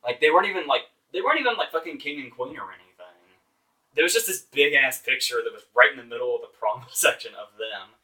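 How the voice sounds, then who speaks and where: A man's voice: medium pitch, animated, fast talker, pushed and bright. A man reviewing movies informally as a host, exasperated, emphatic and sarcastic, with casual swearing. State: voice getting hoarse. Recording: distant microphone.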